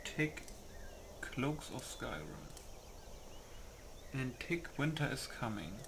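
A man's voice: medium pitch, sing-song, slow pace, noisy background. A man giving casual commentary while setting up a game, muttering to himself and reading settings aloud in clipped phrases. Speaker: gaming video host. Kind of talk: casual gameplay setup commentary. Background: music.

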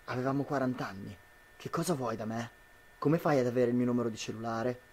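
A man's voice: medium pitch, darkish tone, natural voice. A man playing an anxious, suspicious citizen of a dystopian future in an amateur radio drama, dramatic and questioning.

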